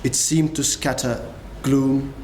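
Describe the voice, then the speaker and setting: A man narrating a classic story with grave, measured delivery in a dramatic reading. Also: medium pitch, little pitch movement, a little mellow. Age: young adult. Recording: echoey room, faint noise.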